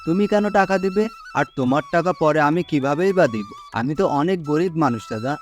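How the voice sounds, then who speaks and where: Humble, higher-pitched poor farmer acted by a man. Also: expressive, fairly quick, natural voice. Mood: doubtful, protesting. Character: poor, gullible, humble male farmer, trusting and hesitant. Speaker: cartoon voice actor. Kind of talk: Bengali cartoon folk-tale acting. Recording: music under the voice.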